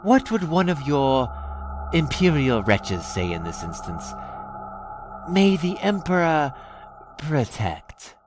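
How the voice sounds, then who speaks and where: A man voices a cold alien pirate villain in an audio drama. He gloats slowly, swinging his pitch with mocking menace, over clearly audible music. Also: big pitch swings. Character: cold, gloating xeno pirate poisoner, male.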